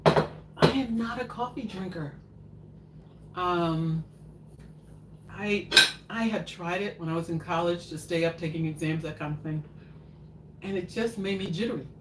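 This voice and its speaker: A woman's voice: on the low side, a little slow, pauses often. An older woman hosting a live home cooking show, chatting and rambling as she answers viewer questions. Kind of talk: casual live chat while cooking. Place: home kitchen, indoors. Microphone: far camera in front of counter. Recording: echoey kitchen, noisy, mic at a distance.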